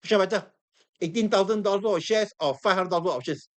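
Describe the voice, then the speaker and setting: A high-voiced man with a Singaporean English accent lecturing to a classroom of students. He sounds hoarse and worn, repeats words and keeps quizzing the room as he explains. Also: fast talker. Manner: animated, repeats himself, asks the room questions. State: hoarse, losing his voice. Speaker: trading instructor.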